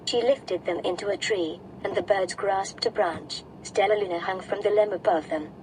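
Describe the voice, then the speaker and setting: Female storybook narrator, mid-range, expressive read-along delivery, lightly noisy home recording. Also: mid-range voice, normal speed.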